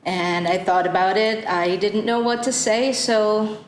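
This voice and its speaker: Slow-spoken woman from Guyana, echoey room. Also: medium pitch. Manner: halting, thoughtful storytelling. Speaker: church panelist. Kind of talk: reflective Bible discussion. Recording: echoey room with faint noise.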